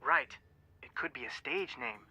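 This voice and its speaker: Crisp, dry detective on the phone. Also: male voice, medium pitch, animated, unhurried, bright, forceful tone, no echo, heavily acted. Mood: matter-of-fact. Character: dry, level-headed male detective, the straight man. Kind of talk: video game voice acting.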